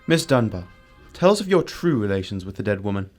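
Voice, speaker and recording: A man playing a composed, analytical gentleman detective in a radio drama, deliberate, courteous and authoritative. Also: fairly low pitch, sing-song, soft background music. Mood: gently probing.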